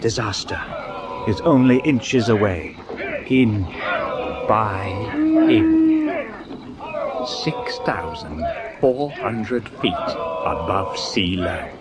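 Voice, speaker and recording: A man playing a reflective British naval officer, narrating an expedition in a measured, suspenseful radio drama style. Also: lowish voice, expressive. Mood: grave, suspenseful. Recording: clear background ambience noise.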